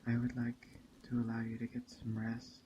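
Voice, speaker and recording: A man speaking softly and unhurriedly with long pauses, in an ASMR roleplay as a gentle, hospitable witch. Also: mid-range voice, completely flat delivery, dull audio, a little hiss or hum. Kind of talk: soft-spoken ASMR roleplay narration. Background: rain and forest ambience.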